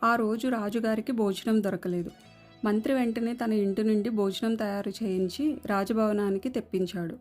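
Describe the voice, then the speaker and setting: A woman's voice: medium pitch, steady tone, fairly quick, darkish tone. A woman reading scripted narration for a moral story. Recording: music under the voice.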